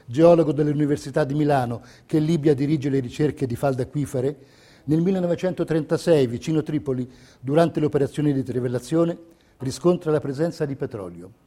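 Mellow, higher-voiced man narrating for the stage. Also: brisk. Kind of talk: theatrical scripted narration.

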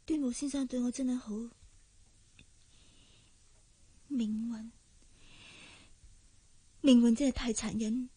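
An actress playing a humble young housemaid in a radio drama, timid and plaintive, with a Hong Kong accent. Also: female voice, a little high, fairly even intonation, normal speed, warm tone, breathy edge. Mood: sorrowful musing. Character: meek, humble young housemaid from a poor family. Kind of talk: Cantonese radio drama acting.

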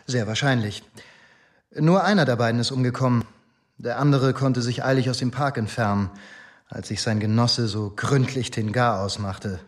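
An actor playing a dutiful but insistent police inspector in a radio drama, formal, firm and matter-of-fact. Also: male voice, mid-range voice, moderate pace, natural voice. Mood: matter-of-fact reporting.

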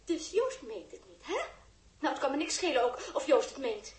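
Scornful young woman, very high lilting voice, teasing, 1960s radio play, roomy sound. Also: very animated, moderate pace. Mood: indignant. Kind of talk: 1960s Dutch radio drama acting.

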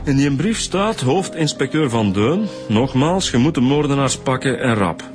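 Probing male police inspector, played by an actor, Flemish, animated delivery, polite, radio drama with music bed. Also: mid-range voice, moderate pace, natural voice. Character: earnest, probing male police inspector, eager junior partner.

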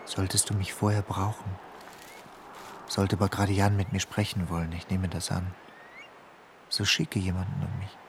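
An actor playing a persuasive lover who talks low, coaxing and insistent, in a noisy old radio play recording. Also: male voice, flat delivery, moderate pace, pauses often. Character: smooth persuasive male lover, urging escape. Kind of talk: radio drama acting.

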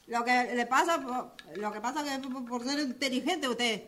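A woman's voice: on the high side, moderate pace, fairly bright tone, slight background noise, lightly acted. A woman playing a nervous, servile, muddled maid in a radio drama, stammering and deferential, with an Argentine accent. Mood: flustered, apologetic. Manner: stammering, deferential, rambling, tangled grammar.

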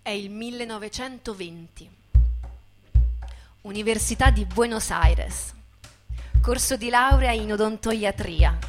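A woman narrating a literary stage piece with deliberate, expressive phrasing. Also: medium pitch. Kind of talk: dramatic literary narration for theatre. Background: guitar music.

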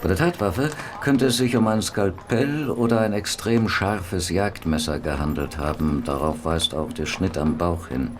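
An unflappable Victorian medical examiner of radio drama. His voice is on the low side, dry and clinical. Clear background noise surrounds it. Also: a little slow, few pauses. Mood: clinical, absorbed. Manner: matter-of-fact, clinical, drily sardonic. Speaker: actor in an audio drama. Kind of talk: German radio play acting.

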